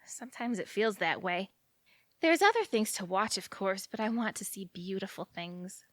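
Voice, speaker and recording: A woman's voice: mid-range voice, animated, moderate pace, a bit bright, no echo, clean studio sound, lightly acted. Dreamy, talkative young small-town woman played by an actress. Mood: dreamy, proud. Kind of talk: naturalistic audio drama dialogue.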